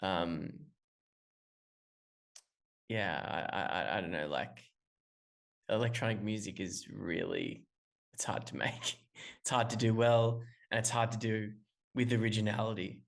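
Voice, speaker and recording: A man's voice: lowish voice, measured pace, creaky, pauses often. Hesitant, rambling man calling in. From Australia. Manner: rambling, hesitant, many restarts and fillers. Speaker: musician guest. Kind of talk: casual interview talk. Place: calling in remotely.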